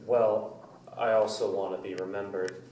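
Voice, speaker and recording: A man's voice: fairly low pitch, little pitch movement, moderate pace, darkish tone, lightly acted. Man acting subdued dying young man, echoey. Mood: fatalistic. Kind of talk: staged play reading. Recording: echoey room, distant mic, faint noise.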